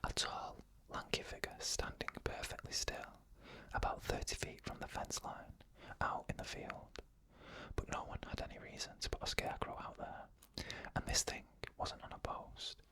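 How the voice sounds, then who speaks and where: An ASMR narrator telling eerie first-person stories in a hushed, slow, suspenseful whisper, almost entirely without voice. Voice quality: unvoiced whisper throughout.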